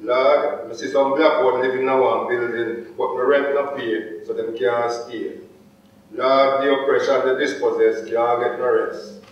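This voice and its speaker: A man's voice: medium pitch, fairly even intonation, measured pace, lightly acted. A man reciting performance poetry as an anguished, incredulous poor Jamaican, rhythmic and dramatic, in a Jamaican accent. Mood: weary lament. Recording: very echoey hall, distant muffled mic.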